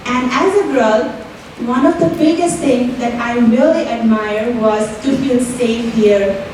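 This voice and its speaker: Young Nepali-accented woman, fairly high voice, earnest student speech, picked up from a distance in a very echoey hall. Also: a little slow, a little mellow, slight background noise. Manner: earnest, fills with "like" and "you know". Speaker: student speaker. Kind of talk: prepared stage speech. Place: university event hall. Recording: roomy hall audio from far off.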